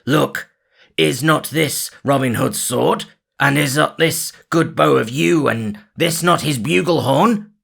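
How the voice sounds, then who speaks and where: A man's voice: fairly high pitch, slow, gravelly, a bit bright, breathy edge, pitched above the performer's own voice, full character voice. A man voicing an outlaw who fakes a harsh voice in disguise.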